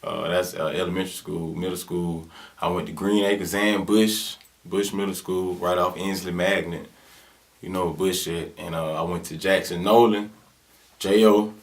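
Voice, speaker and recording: A man's voice: sits low, fairly even intonation, moderate pace. A man in his twenties with a Southern US accent, a rapper answering interview questions in laid-back, slangy talk full of fillers. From Birmingham, Alabama. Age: 20s.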